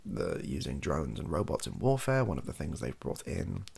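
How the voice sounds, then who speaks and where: A British man in his thirties rambling softly and hesitantly in an improvised, self-deprecating ASMR style, with a gravelly, husky voice. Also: very deep voice, big pitch swings, moderate pace. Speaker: video host, ASMR creator. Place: small bedroom office, indoors.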